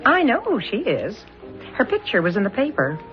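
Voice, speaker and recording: A woman's voice: fairly high pitch, huge pitch swings, normal speed, faint music behind. An actress playing a shrewd, long-suffering policeman's wife, knowing and drily teasing, in 1970s radio drama style. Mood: curious, observant. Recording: dull lo-fi radio drama recording.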